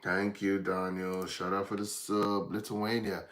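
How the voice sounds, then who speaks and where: A man's voice: low voice, little pitch movement, measured pace, fairly bright tone, a little hiss or hum. An Irish man from Dublin hosting a casual livestream, rambling and distracted as he chats with viewers. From Ireland, from Dublin. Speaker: livestream host.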